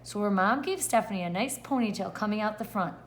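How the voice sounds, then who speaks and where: A woman's voice: medium pitch, fast talker. A woman kindergarten teacher reading a picture book aloud expressively and putting questions to the children. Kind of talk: read-aloud lesson for young children.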